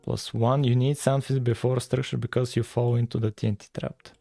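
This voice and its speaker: A man's voice: mid-range voice, flat delivery, a little fast, creaky, warm tone, dry recording, soft background music. A man from Bulgaria with a Bulgarian accent, chatting casually and thinking aloud as he livestreams his coding. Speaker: live streamer, game developer.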